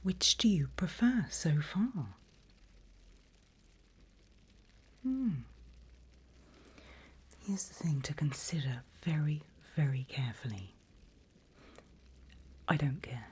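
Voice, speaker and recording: A woman plays a seasoned female demon guiding a pupil in an ASMR roleplay. Her voice is a very breathy, low whisper, unhurried and deliberate. She is vain, teasing and darkly playful. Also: animated, exaggerated voice. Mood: coolly amused. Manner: slow, teasing, deliberate, hushed. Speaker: demon guide training a newcomer.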